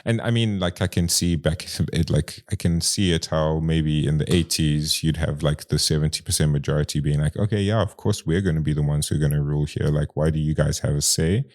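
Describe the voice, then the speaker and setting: A man's voice: a bass voice, a little fast, hardly any pauses, darkish tone. A young Zimbabwean man with a Zimbabwean accent, a guest in a casual conversation, talking in a rambling way with many fillers and restarts.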